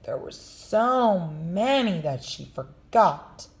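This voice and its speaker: A woman reading a children's story aloud with slow, expressive storytelling. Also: lowish voice, a little hiss or hum. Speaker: storybook reader.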